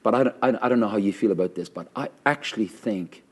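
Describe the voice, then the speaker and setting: A middle-aged man, a pastor, talking with a guest in an earnest, fervent way, with a naturally loud voice, repeating phrases for emphasis. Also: on the low side, fast, husky. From Canada. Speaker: pastor hosting a conversation. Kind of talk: earnest conversational interview.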